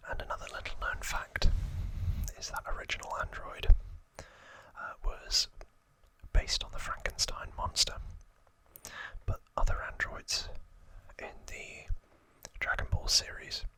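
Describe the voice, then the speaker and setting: A man speaks in a breathy ASMR whisper with a very bright, crisp edge. He explains things slowly and deliberately, leaving pauses between short hushed phrases, as a host guiding the listener along. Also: no echo, low-quality recording. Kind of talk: whispered ASMR explainer, scripted-feeling trivia retelling with asides.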